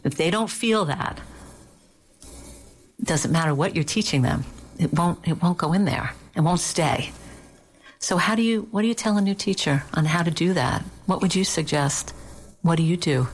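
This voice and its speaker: A woman with a low voice who hosts the interview. She agrees with her guest's answers and asks layered, rephrased follow-up questions. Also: expressive, moderate pace, breathy edge. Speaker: interviewer, host. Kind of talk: interview conversation.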